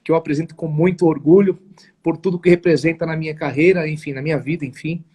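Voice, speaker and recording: Sao paulo guy in his 40s telling his story on a video call, husky voice, kinda formal, keeps restarting. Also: on the high side, fairly even intonation, fast, mellow. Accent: São Paulo Portuguese. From São Paulo, Brazil. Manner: earnest and emphatic, frequent restarts and formal phrasing. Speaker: guest, network marketing entrepreneur. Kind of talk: live interview storytelling.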